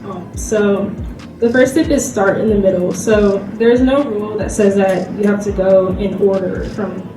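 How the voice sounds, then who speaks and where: A woman's voice: medium pitch, steady tone, a little slow. A woman giving an informal panel presentation in a conversational, somewhat hesitant way, peppered with "like". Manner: hesitant, conversational, frequent "like" as filler. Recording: echoey room from far off, music behind.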